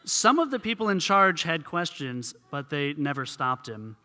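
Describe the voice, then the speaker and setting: A man's voice: a little high, sing-song, moderate pace, bright, forceful tone. A man reading scripted narration as a radio reporter.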